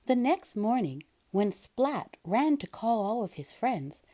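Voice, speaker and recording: A woman narrates a children's story at a slow pace. Her voice is high and swings widely in pitch, giving the read-aloud lots of expression. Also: sing-song, mellow. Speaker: storybook reader.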